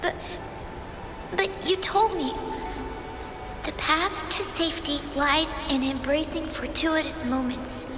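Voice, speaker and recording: An earnest young girl apprentice in a video game. Her voice is high and slow, hesitant and deferential. It echoes in a long reverb with music behind. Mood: hesitant pleading.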